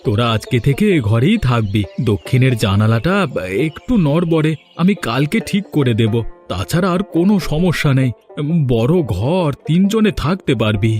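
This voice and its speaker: A man's voice: mid-range voice, big pitch swings, normal speed, darkish tone, soft background music, lightly acted. A man voicing a kindly, reassuring village family man in a cartoon. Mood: calm. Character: kindly, reassuring village husband, brother and uncle. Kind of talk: Bengali cartoon voice acting.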